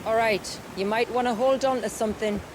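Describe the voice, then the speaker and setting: An actress voicing a confident, wry village guide in a video game, with an assured, teasing storyteller's manner. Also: female voice, on the high side, steady tone, brisk, noisy background, lightly acted. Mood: playful, assured. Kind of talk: dramatic video game acting.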